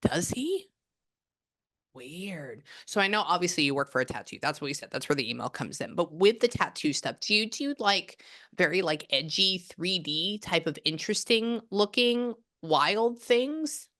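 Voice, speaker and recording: A woman's voice: lowish voice, lively intonation, moderate pace, fairly bright tone. A woman working as a psychic medium, chatting casually and rambling with lots of restarts. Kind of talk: casual reading on a video call.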